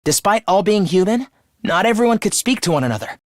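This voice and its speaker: A man playing an earnest, caring teenage student leader in an anime-style video game, with a youthful, expressive voice and polite delivery. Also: high voice, brisk, no room sound, clean studio sound. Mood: thoughtful, reasoning. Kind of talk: animated game voice lines, anime-style dub acting.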